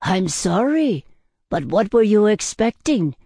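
A man's voice: sits high, lively intonation, a little slow, vocal fry, darkish tone, slightly rough, no echo, studio quality, exaggerated voice. A man voicing a blunt but kindly tavern owner, exasperated and protective, in an audiobook style. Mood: worried scolding. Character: blunt, worried, kindly male tavern owner, scolding a friend. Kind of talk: audiobook character acting.

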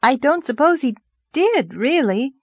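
Lady reader doing a sweet flustered young teacher girl, high n breathless, really animated, audiobook style. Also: unhurried, no room sound, dull audio. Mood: excited. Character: excitable, sweet young schoolteacher woman, dreamy and flustered. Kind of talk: audiobook character voice.

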